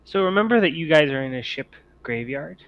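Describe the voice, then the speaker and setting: A man's voice: fairly high pitch, expressive, a little fast, dry recording, muffled recording. A man narrating a tabletop role-playing game as game master, explaining things informally.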